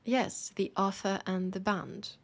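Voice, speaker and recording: A woman with a French accent playing a teasing, warm bookshop keeper in an intimate audio roleplay, soft and playful, often slipping toward a whisper. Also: medium pitch, little pitch movement, slow pace. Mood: musing, playful. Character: teasing, warm young-sounding human bookshop keeper, chatting to vampire.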